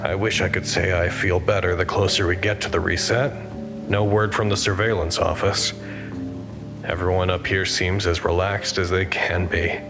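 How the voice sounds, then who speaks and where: An actor playing an anxious, weary researcher who narrates a log in a measured, wry way for an audio drama. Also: male voice, deep voice, level tone, over music. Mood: weary, uneasy.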